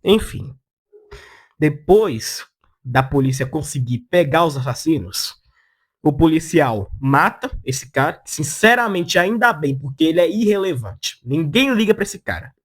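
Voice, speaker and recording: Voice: medium pitch, sing-song, a little slow, breathy edge. A person with a Brazilian Portuguese accent giving casual opinion commentary as a video essayist, in a blunt, slangy, sarcastic way.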